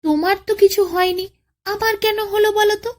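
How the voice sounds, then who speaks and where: A woman's voice: very high voice, steady tone, moderate pace, voice pushed up. A woman playing a foolish, gullible male tiger in a children's folk tale, blustering and whining naively. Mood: plaintive, puzzled.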